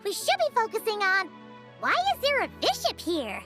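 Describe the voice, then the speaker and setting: A woman's voice: wildly swooping pitch, moderate pace, over loud music, heavily acted. A woman voicing a small, cute, childlike female fairy creature in a video game, cheerful and earnest, with exclamatory delivery. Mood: excited, curious. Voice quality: high, bright, childlike.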